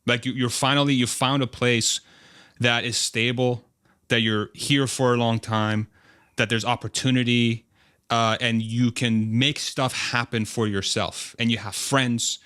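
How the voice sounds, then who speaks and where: A man in his thirties with a clear, smooth voice of medium pitch. He speaks as a podcast guest, casual, earnest and enthusiastic. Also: fairly even intonation, unhurried, a bit bright. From Spain, then United States from age twelve. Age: 30s. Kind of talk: casual interview chat.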